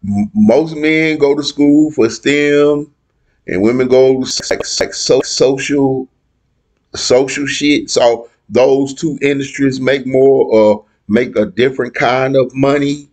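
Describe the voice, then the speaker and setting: A young man argues his side in a heated panel debate, blunt and full of slang. He talks slowly and hammers his points by repeating them. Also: medium pitch, steady tone, darkish tone.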